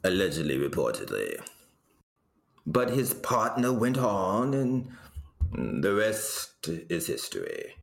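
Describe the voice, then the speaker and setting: An actor playing a rambling, deadpan male storyteller telling absurd family history in a comedic audio drama, with deliberate dramatic pauses. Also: low voice, creaky, full character voice. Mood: wry storytelling.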